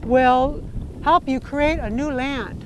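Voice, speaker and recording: A woman voicing a calm, helpful turtle in a told legend, speaking solemnly. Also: high voice, slow, background noise.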